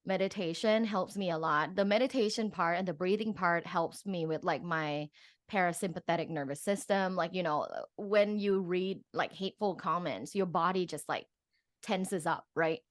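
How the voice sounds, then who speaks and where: Deeper-voiced woman chatting casually, close dry sound. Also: moderate pace, no echo. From Thailand. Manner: informal, peppers speech with "like". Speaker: guest, professor and sexologist. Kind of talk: casual interview chat.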